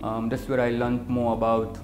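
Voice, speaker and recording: A Kenyan man in his thirties, an optician and business owner, answering interview questions in a measured way, with many fillers. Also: medium pitch, completely flat delivery. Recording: echoey room with music behind.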